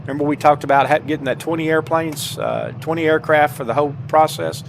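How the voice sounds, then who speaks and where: Higher-pitched middle-aged man, folksy game walkthrough. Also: sing-song, a little fast, gravelly, slight background noise. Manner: folksy, informal, addressing viewers as guys. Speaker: gameplay tutorial host. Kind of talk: casual step-by-step game walkthrough commentary. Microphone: headset.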